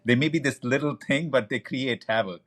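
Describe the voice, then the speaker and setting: A man's voice: medium pitch, brisk, a bit bright. A man hosting a podcast interview, effusive and eager as he welcomes his guest and asks questions. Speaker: podcast host. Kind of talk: conversational interview.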